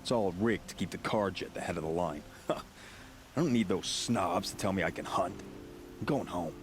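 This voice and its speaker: A man's voice: deep voice, normal speed, slight background noise, lightly acted. An actor playing a blunt, disgruntled male hunter in a video game, speaking in a dry, sardonic way. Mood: bitter. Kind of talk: video game dialogue acting.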